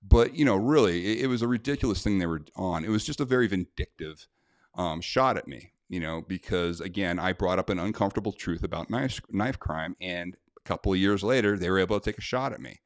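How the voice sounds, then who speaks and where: A man's voice: low-pitched, a little fast, no room sound, clean studio sound. An American man, a self-defense instructor, talking casually to camera in a blunt, indignant way with many fillers. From United States. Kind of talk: casual talk to camera, reading a letter aloud.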